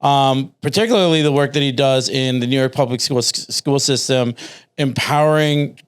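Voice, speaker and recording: A man hosts a casual podcast interview from a studio. His voice is smooth and clear at a middling pitch. He speaks informally and effusively, in halting phrases. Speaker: podcast host.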